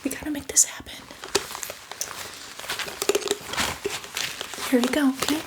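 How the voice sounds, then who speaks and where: A woman's voice: sits high, steady tone, racing, lightly acted, rough recording. Woman playing a whispering, chatty zombie in ASMR. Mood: eager, apologetic. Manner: rambling, reassuring, casual. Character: chatty female zombie, eager, apologetic, fussy, casually bloodthirsty.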